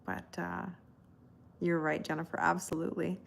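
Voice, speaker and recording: A woman's voice: on the low side, level tone, normal speed, creaky, slight background noise. A woman from Canada chats her way through a tarot livestream, talking to her viewers. She rambles in loose, easygoing asides, laughing often and calling out viewers by name as she reads their comments. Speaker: tarot reader and livestream host. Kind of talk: casual livestream chat.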